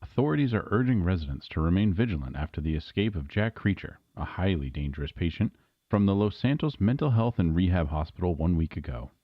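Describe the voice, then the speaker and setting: A man playing a grave local news anchor, reading a scripted bulletin in a formal, measured way. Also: sits low, expressive, dry recording, dull audio.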